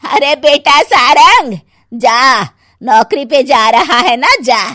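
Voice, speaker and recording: A woman's voice: high-pitched, expressive, sharp tone, no room sound, studio quality, full character voice. A woman playing a daughter-in-law who pretends to be possessed by a vengeful mother's ghost, commanding and menacing in melodramatic style. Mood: bossy. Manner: menacing, commanding, drawn-out words. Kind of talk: melodramatic Hindi story-video acting.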